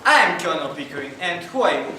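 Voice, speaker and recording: An actor playing a courteous, genial colonel and scholar with a polite manner. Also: male voice, on the high side, big pitch swings, fast, pushed and bright, heavy reverb, recorded from a distance, a little hiss or hum, low-quality recording.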